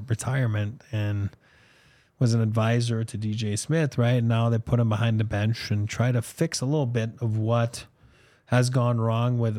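A man's voice: medium pitch, darkish tone, dry recording. A man, a former hockey player whose first language is French, co-hosting a casual sports podcast with a French accent touched by Boston, rambling with lots of ums and "you know". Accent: French, with some Boston. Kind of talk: casual sports talk with ad reads.

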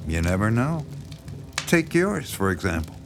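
Lowish voiced horror author, folksy but creepy and knowing, radio play with music behind. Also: male voice, very animated, a little slow. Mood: insinuating, flattering. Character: smooth-talking, subtly sinister, self-assured male horror writer. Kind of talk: naturalistic audio drama dialogue.

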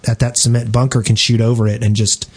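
A man with an average-pitched voice chats casually on a gaming podcast. He comes across as inquisitive and a little hesitant, feeling his way through his thoughts as a panelist. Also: little pitch movement, fast, slightly rough. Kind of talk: casual chat about gaming.

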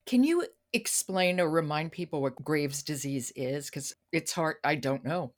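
A woman's voice: deep voice, lively intonation, measured pace. A woman hosting a podcast in a conversational style, talking about books. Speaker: podcast host. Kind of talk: conversational book discussion.

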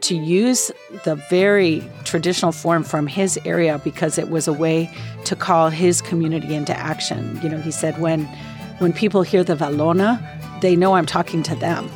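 A woman being interviewed about an arts project, explaining things with pauses as she searches for words. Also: deep voice, fairly even intonation, over music. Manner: hesitant, pausing to find words.

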